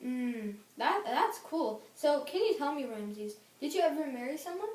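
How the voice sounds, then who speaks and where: A woman's voice: sits high, expressive, normal speed, darkish tone. A woman hosting a role-play interview in a cheerful, upbeat presenter manner, recorded in an echoey room from a distance. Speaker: interviewer host.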